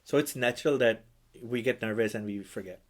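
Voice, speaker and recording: A man who speaks Urdu as his first language, hosting a podcast as an imam, talking in a measured, reverent way. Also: medium pitch. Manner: measured, reverent, with religious phrases. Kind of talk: conversational interview.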